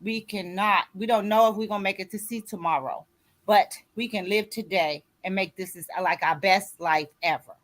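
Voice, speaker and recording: A woman's voice: medium pitch, moderate pace, fairly bright tone. A woman in her sixties hosting a conversational radio show, talking in an emphatic, exhorting way and often checking that listeners follow. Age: 60s. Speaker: host and author mentoring co-authors. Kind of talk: motivational conversational radio talk.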